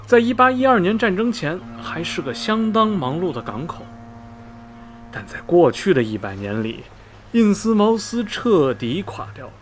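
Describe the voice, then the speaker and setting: Man acting animated, gossipy railway station worker. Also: high-pitched, moderate pace, slight background noise. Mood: gossipy storytelling. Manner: folksy, rambling, full of sighs and interjections. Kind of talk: Mandarin radio drama dialogue, storytelling. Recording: music behind the voice. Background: background music.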